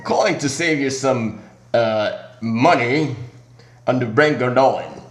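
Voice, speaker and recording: A man making a prank phone call as an airline agent, with polite but halting scripted patter. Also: medium pitch, reverberant. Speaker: prank caller.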